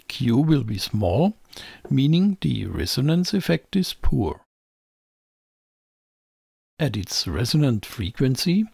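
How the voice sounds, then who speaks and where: A man reading scripted technical narration as a tutorial presenter, in a measured, explanatory way. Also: mid-range voice, creaky, darkish tone, husky, dry recording, clean studio sound. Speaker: presenter of an electronics tutorial channel.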